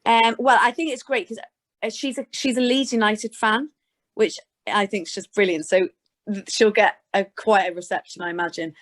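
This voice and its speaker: A woman's voice: mid-range voice, steady tone, normal speed, a bit bright. A British woman chatting informally as a guest in an interview, answering in a conversational way. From Scotland and Gloucestershire, England. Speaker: interview guest, sports presenter. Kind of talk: casual interview chat.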